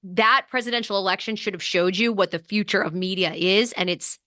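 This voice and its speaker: A woman podcaster in casual chat, slightly hoarse from losing her voice, talking fast and animated with lots of fillers. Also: mid-range voice, dry recording, clean studio sound. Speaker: podcast host and guest. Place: Los Angeles podcast studio.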